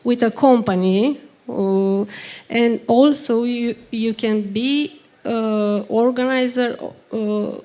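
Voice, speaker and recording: A woman with a Romanian accent giving a halting workshop presentation in English while unwell, pausing often to search for words. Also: on the high side, fairly even intonation, dark tone. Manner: hesitant, many ums and uhs, searches for words.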